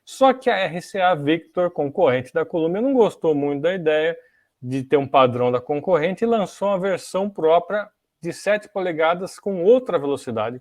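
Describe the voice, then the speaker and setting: A man's voice: on the high side, darkish tone, no echo, clean studio sound. A Brazilian man hosting a podcast, explaining things in a casual, didactic way and often checking in with small tag questions. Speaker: podcast host. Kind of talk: casual explanatory talk on music technology history.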